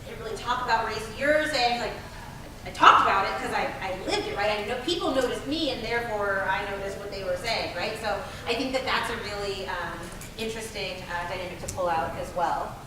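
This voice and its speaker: A woman's voice: medium pitch, a little fast. A woman facilitating a community workshop discussion, engaged and affirming, often checking in with "right?". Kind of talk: leading a group discussion. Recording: echoey room, distant mic, faint noise.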